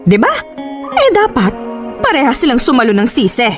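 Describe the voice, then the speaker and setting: A woman with a Filipino accent playing a combative, sharp-tongued, defensive mother in a radio drama, argumentative and sarcastic. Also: high-pitched, wildly swooping pitch, moderate pace, music in the background. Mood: accusing.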